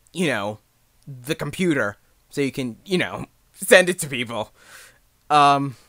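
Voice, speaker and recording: A young man giving a casual, unscripted tutorial in a rambling, halting, self-deprecating way. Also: medium pitch, a bit bright, no echo. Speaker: amateur voice actor giving advice.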